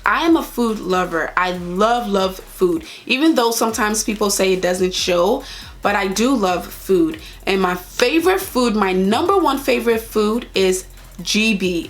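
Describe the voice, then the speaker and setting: Casting: young adult woman, Liberian accent, mid-pitched voice, conversational vlogger speaking straight to viewers. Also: a bit bright. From Liberia, raised partly in Ivory Coast. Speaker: vlogger answering viewer questions. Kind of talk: casual chat to camera.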